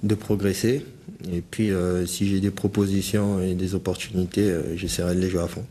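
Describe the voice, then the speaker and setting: A young man in his late twenties, from French Guiana, speaks in a low, mellow voice. He answers the questions slowly and pauses often, with many fillers. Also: level tone. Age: 20s. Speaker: basketball player guest. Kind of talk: hesitant interview answers.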